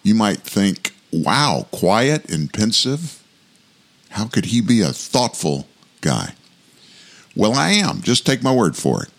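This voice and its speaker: A man in his sixties from South Louisiana with a big voice, hosting a scripted commentary show in a measured, reflective way. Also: on the low side, vocal fry. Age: 60s. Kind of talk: scripted political commentary monologue.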